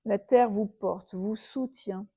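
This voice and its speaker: Female yoga teacher for guided relaxation: very dark, soft-edged tone, dry close sound, soothing and unhurried. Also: medium pitch, steady tone, breathy edge, no room sound. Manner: soothing, unhurried, with long pauses.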